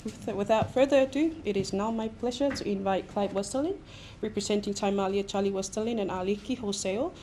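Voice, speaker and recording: A woman hosts a live event in a formal manner. Her voice sits at a medium pitch, with faint noise around it. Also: a little fast, dark tone. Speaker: event host. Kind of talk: formal announcements and prayer.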